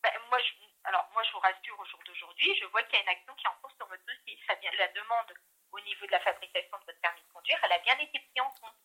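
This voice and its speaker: A woman's voice: medium pitch, quick pace, sharp tone, slightly rough. A woman working as a call centre agent, explaining things hesitantly in a customer service call over the telephone. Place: phone call, recorded from the line. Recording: telephone line.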